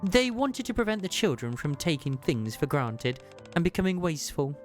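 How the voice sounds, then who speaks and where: An ancient, knowing woman of a video game story, acted out by a man. The voice is lifted high and swings in pitch as it explains fondly in measured, formal phrases, with music behind. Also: sing-song. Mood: fond, earnest. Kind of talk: read-aloud video game dialogue.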